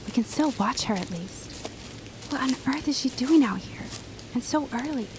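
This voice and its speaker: Casting: actress as plucky, curious woman neighbour, higher voice, earnest period-drama diction, noticeable background noise. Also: expressive, normal speed. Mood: intrigued, hushed. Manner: earnest, old-fashioned formal phrasing. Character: eager, inquisitive, plucky woman, loyal neighbour. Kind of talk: period audio drama acting.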